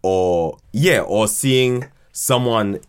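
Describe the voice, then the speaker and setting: Slow, halting man, lowish voice. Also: smooth. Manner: halting, repeats words and restarts often. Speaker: podcast guest, writer and creator of a fiction podcast. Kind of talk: casual interview chat.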